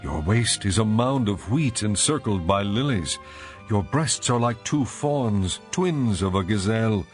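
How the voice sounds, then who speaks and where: Audio drama bridegroom: low register, reverent and poetic, adoring, scripture recitation with light music underneath. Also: male voice, animated, a little slow. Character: adoring male lover praising his bride, ancient royal poetry.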